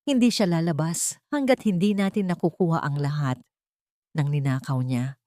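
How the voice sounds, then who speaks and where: A woman's voice: low-pitched, normal speed, mellow, no room sound, natural voice. An elderly, haughty matriarch, acted by a female storyteller, gives orders. She is cold, imperious and full of disdain. The audiobook recording is dry and close.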